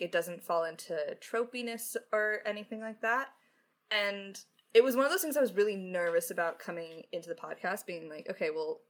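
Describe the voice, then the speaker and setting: A talkative woman speaking as a podcast writer in a casual Q&A, reflective and halting, with self-deprecating asides. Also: fairly low pitch, a bit bright. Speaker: podcast lead writer answering questions. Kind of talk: casual creator Q&A.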